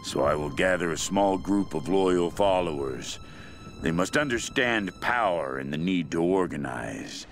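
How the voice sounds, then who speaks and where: A man's voice: low-pitched, lively intonation, faint music behind. An actor playing a fanatical, mad cult leader obsessed with a queen, delivering a brooding, menacing diary monologue for a video game. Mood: commanding, ruthless. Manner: slow, brooding, menacing, intense.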